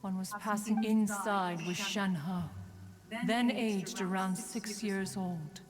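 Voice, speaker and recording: A stately immortal spirit woman, played by an actress for a game. She speaks formally and loftily in a mid-pitched voice, with long reverb and clear music behind her. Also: takes it slowly, close to an everyday voice. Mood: lofty, measured. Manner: formal, archaic, lofty, calls herself one. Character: stately, aloof immortal crane spirit, female master. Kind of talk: video game voice acting.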